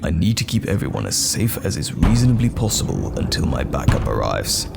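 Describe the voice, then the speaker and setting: A man playing a steadfast knight general who protects common folk, measured, commanding and reassuring, in a fantasy audio roleplay. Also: big pitch swings, heavily acted. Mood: composed, firm. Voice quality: deep, gravelly. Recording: background music under the voice. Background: music.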